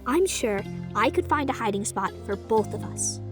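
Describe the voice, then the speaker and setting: A calm, thoughtful male ghost who speaks in a measured, grave tone, as a woman reader puts him on. Faint music hums behind this audio drama. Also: a little high, lightly acted.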